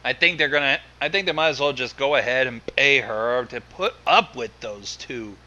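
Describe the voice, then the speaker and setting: A man's voice: mid-range voice, moderate pace, bright, forceful tone, muffled recording. A man giving sarcastic, casual commentary during a story reading. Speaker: guest commentator.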